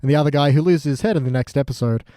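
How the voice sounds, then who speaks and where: A man's voice: fairly high pitch, rapid-fire, dark tone, dry recording, clean studio sound. A man co-hosting a podcast in a casual, sweary chat, full of joking asides and mock quotes. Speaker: podcast co-host. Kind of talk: casual chat recapping a TV show.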